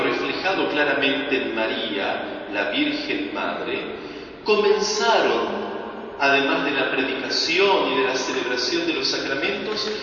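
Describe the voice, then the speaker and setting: A man with an Argentine Spanish accent delivering a sermon as a preacher, in a deliberate, measured way with emphatic pauses. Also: a little high, pushed and bright. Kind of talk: sermon, religious meditation. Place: large reverberant indoor space. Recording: echoey church-like hall, distant mic, dull, faint noise.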